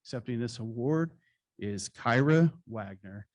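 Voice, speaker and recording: A man presenting awards at a conference, reading citations in a formal, measured way with occasional slips. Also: medium pitch, expressive, darkish tone, slight background noise. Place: conference hall stage.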